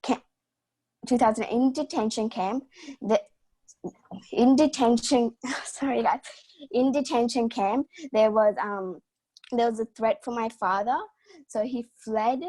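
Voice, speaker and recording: A woman's voice: fairly high pitch, steady tone, measured pace, long pauses, mellow, poor audio. A young woman from Sri Lanka reading her personal story aloud over a video call, careful with small slips.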